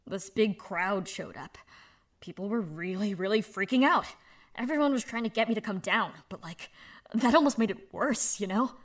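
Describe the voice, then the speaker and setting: A woman voicing a young woman speaking softly and haltingly about her past, in a fan audio drama. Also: mid-range voice, animated, natural voice. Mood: somber. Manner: soft, halting, confessional. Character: young woman, subdued, candid.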